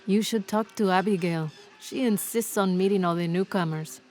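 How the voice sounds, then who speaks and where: A woman's voice: mid-range voice, moderate pace, a little mellow, faint music behind, lightly acted. An actress playing a chatty, friendly female villager who greets newcomers, talking in a teasing, easy way in a video game cutscene.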